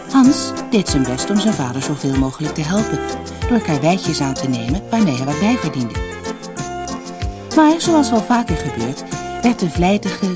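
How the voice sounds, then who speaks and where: A storyteller reading a scripted fairy tale for an audiobook, with expressive, dramatic emphasis. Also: medium pitch.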